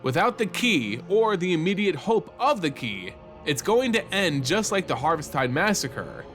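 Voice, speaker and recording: A man's voice: high-pitched, very animated, moderate pace, fairly bright tone, soft background music. A man hosting a fantasy lore channel, reading a story as dramatic scripted narration.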